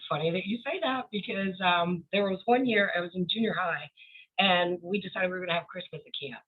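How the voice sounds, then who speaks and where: A woman's voice: fairly low pitch, brisk, slightly rough. A middle-aged woman telling an anecdote casually as a guest. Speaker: guest author. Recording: thin phone-like call audio.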